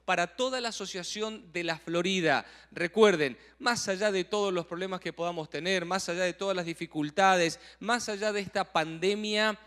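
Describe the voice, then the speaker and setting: A man's voice: high-pitched, moderate pace, hardly any pauses, fairly bright tone. A man hosting a live online broadcast in Spanish, speaking emphatically and promotionally. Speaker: event host.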